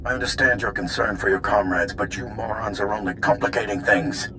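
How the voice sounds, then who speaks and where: A man's voice: on the low side, fairly quick, lightly acted. An actor playing a smug secret-service agent in a video game, sarcastic and condescending in his delivery.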